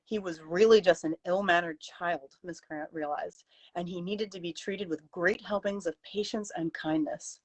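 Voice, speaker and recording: A woman's voice: on the low side, normal speed. A woman author on a livestream, reading her story and chatting about it casually. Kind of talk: story narration and casual chat.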